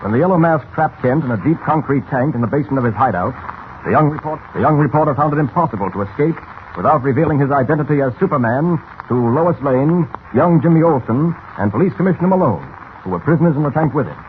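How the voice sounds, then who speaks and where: A man's voice: on the high side, expressive, fast. A man narrating a 1940s radio serial in a dramatic, grandiose, suspenseful scripted style. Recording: muffled, faintly hissy old radio recording.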